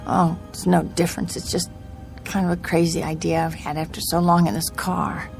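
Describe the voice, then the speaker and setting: A woman's voice: on the low side, little pitch movement, normal speed, creaky, soft background music. An actress playing a haunted, desperate young woman driver in a radio drama, earnest and anxious in her delivery. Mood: probing, uneasy. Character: desperate, haunted young woman driving cross-country alone.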